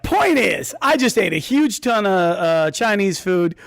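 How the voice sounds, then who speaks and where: A man's voice: sits high, sing-song, a little slow, creaky, no room sound, clean studio sound. A man telling a comic true story in a conversational, self-interrupting way, as a storyteller on stage.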